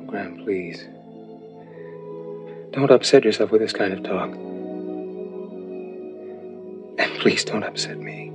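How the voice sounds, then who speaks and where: Man acting a low-voiced, tender young grandson. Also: normal speed, natural voice. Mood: pleading. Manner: tender, soft, teasingly reassuring. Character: young man, devoted grandson, gently reassuring. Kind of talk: television soap drama acting. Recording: soft music behind the dialogue.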